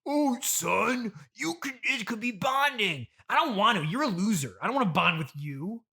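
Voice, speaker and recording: A sullen teenage boy, played by a man, talks in a high, bright, piercing voice. He whines and sneers with heavy sarcasm, sighing in exasperation as a comedy podcast guest who scorns his dad. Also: very animated, normal speed, vocal fry, slightly rough, exaggerated voice. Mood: scornful. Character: sullen, scornful fifteen-year-old son of a podcast host. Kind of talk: improvised comedy interview acting.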